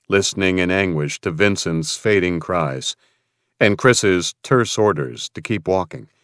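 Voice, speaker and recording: A man with a low voice reads dramatic fiction as an audiobook narrator. The sound is very dry, with no room around the scripted narration. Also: fairly bright tone, dry recording.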